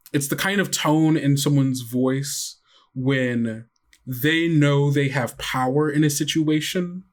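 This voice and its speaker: A man narrating a tabletop game as game master, with dramatic storytelling and casual asides. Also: medium pitch. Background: faint music.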